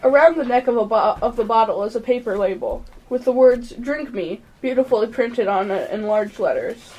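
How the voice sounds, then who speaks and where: Amateur girl announcer for a radio play, scripted narration, kinda high voice. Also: normal speed, darkish tone, muffled recording.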